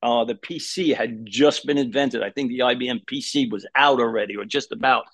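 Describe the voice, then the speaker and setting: A man with a Brooklyn accent, a podcast guest telling his story in a lively, rambling way and often stopping to restart a phrase. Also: mid-range voice, brisk, dry recording. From New York. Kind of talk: casual interview storytelling.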